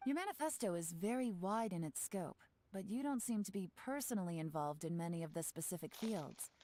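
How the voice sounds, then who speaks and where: An actress playing a composed woman investigator in a game, speaking in a cool, assessing way over soft music. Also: on the low side, animated, brisk, lightly acted.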